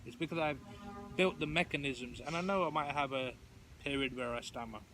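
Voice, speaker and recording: A man's voice: medium pitch, fairly quick, bright, forceful tone, a little hiss or hum. A man speaking earnestly in casual conversation, with emphatic delivery. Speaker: stammering support group member.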